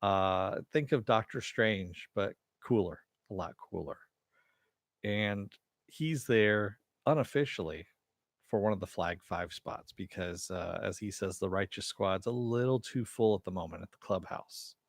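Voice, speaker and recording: Chatty male stream host with a low voice and a close, dry home mic sound, rambling and talking back to his chat. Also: unhurried, gravelly, dry recording. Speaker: show host reviewing a TV episode. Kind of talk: casual live-stream chat and recap. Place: home room. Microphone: headset mic with headphones.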